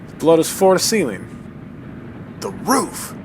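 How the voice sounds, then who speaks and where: A man voicing a calm, practical male investigator in an old-time style radio mystery drama. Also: fairly high pitch, a little slow. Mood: tense. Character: steady male investigator on a train. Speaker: voice actor. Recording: noisy radio drama recording.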